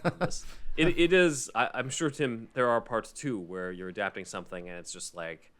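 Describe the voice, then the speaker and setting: A man's voice: medium pitch, moderate pace. A man hosting a podcast in casual conversation, often chuckling as he talks. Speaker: podcast host. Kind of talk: casual chat with read-aloud summary.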